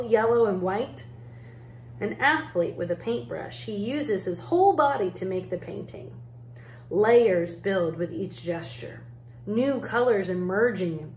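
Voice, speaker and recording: A woman reading a children's picture book aloud as an educator, in measured, deliberate phrases with pauses. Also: mid-range voice. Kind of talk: storybook read-aloud narration. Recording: roomy echo, faint background noise.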